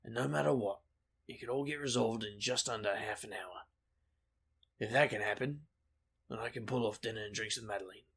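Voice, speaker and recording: An actor playing a brash, wisecracking investigator in a fantasy audio drama, a reckless charmer with a glib, deadpan delivery. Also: male voice, on the low side, fairly quick, vocal fry, pauses often, slightly rough, exaggerated voice. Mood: glib, cocky.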